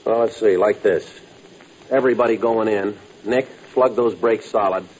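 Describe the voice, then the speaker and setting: A man's voice: on the low side, normal speed, a little mellow, close to an everyday voice. A man playing a laconic young American jazz bandleader in a radio drama, speaking in a clipped, casual, understated way. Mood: casual, brisk. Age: young adult. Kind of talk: 1950s radio drama acting. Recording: muffled old radio recording, faint hiss.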